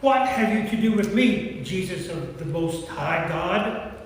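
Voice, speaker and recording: A man's voice: high voice, lively intonation, unhurried. A man playing a tormented legion of unclean spirits in a staged scripture reading, pleading theatrically in a church. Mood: fearful defiance. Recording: distant, very echoey church hall.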